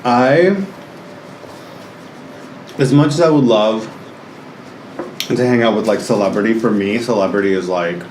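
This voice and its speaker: A man's voice: fairly low pitch, unhurried, long pauses, slight background noise. Chatty man in his thirties, roomy sound. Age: 30s. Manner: chatty, animated, laughs often, many "like" fillers. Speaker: guest. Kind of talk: casual chat. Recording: roomy indoor echo with faint ambience.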